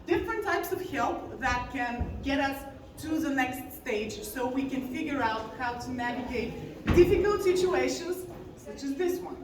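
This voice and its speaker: Woman, 30s, high voice, confessional conference speaker, heard from far off in a very echoey hall. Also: lively intonation. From Bulgaria. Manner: earnest and confessional, slowing down on key words. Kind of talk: personal story talk on stage. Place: large conference hall stage. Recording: very echoey hall, distant mic, faint noise.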